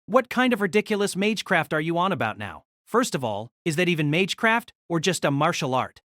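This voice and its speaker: A woman's voice: lowish voice, big pitch swings, a little fast, fairly bright tone, no room sound, clean studio sound, voice pushed down. A woman voicing a fierce, indignant young male student with a scolding manner in a story.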